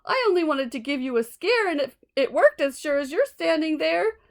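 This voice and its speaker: A woman's voice: high voice, big pitch swings, normal speed. A woman voicing a tiny, cheeky gray mouse in a children's storybook, teasing and exclamatory. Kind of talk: children's storybook read-aloud.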